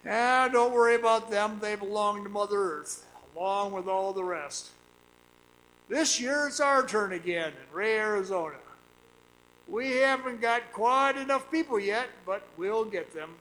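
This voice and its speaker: An actor playing a sinister storyteller devoted to an underground fire cult, confiding and taunting in a radio drama monologue. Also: male voice, sits high, lively intonation, unhurried, exaggerated voice, poor audio. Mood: quietly menacing. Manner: menacing, taunting, confiding, addressing the listener. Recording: loud music bed under the voice. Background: loud dramatic music.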